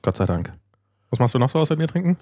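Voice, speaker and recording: Husky, mellow man teasing. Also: medium pitch, big pitch swings, quick pace, no echo, clean studio sound. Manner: teasing and joking. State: unwell. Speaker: podcast co-host. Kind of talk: casual chat.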